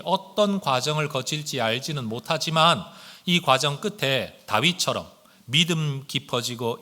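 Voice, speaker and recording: A man's voice: medium pitch, sharp tone. A male pastor preaching a Sunday sermon, speaking earnestly and addressing the congregation with rhetorical questions. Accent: standard Korean, with regional intonation slipping in when speaking fast.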